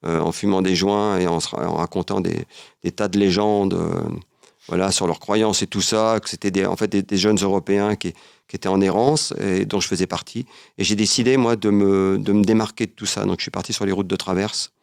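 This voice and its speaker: A man answering as an interview guest, earnest and philosophical, often halting and repeating his words. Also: low-pitched, steady tone, vocal fry, a little mellow, no echo, clean studio sound. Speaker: interview guest, travel writer. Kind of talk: reflective conversational interview.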